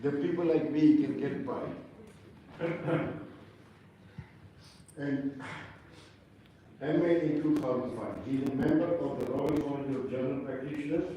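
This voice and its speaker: A man's voice: a little high, a little slow, long pauses, dark tone. A man from India giving a formal introduction speech at an event, with chatty, self-deprecating joking asides. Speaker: event speaker introducing the host. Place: large hall with audience. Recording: echoey hall, distant mic, faint noise.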